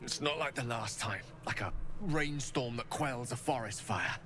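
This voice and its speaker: A man playing an erudite, courteous, world-weary wizard in a fantasy video game, with eloquent, measured, poetic phrasing. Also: mid-range voice, noisy background, natural voice. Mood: troubled, melancholic.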